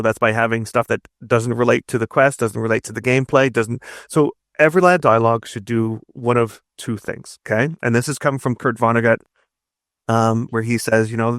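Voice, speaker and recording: Male interview guest, mid-pitched, emphatic explainer who tags points with "right?", recorded dry. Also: no room sound. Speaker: guest narrative designer and game writer. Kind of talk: conversational interview advice.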